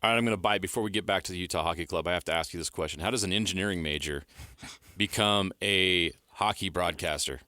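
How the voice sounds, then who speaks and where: A man hosting sports radio, asking his guest questions in a brisk, friendly way. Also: low-pitched, pushed and bright, no echo, clean studio sound. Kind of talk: casual sports talk. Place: radio studio.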